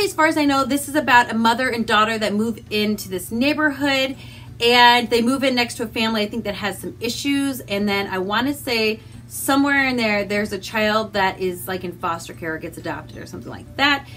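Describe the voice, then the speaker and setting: A woman chatting casually as a book vlogger, animated and rambling, with self-deprecating asides. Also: on the high side. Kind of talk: casual chatty vlog to camera.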